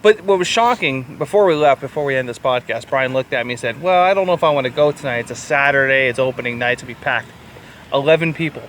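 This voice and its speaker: A man's voice: medium pitch, quick pace, a bit bright. A man co-hosting a casual film review podcast, chatting irreverently and swearing freely. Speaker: podcast co-host. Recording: clear background urban ambient noise.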